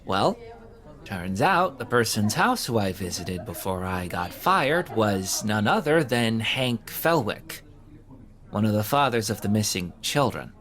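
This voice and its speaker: A man's voice: on the low side, big pitch swings, a little slow, fairly bright tone. A man playing an awkward, hapless young man in an audio drama, talking in a hesitant, sheepish way full of fillers. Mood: glum, resigned. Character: awkward, hapless young man who keeps getting fired. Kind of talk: conversational audio drama acting.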